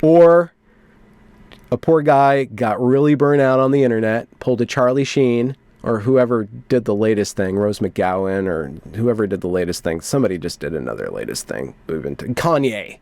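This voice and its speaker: A man's voice: on the low side, expressive, moderate pace, a little mellow, no echo. A man giving wry commentary on internet culture as a video host, turning mock-dramatic when he reads things aloud. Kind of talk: commentary on internet culture, reading out a post and reacting.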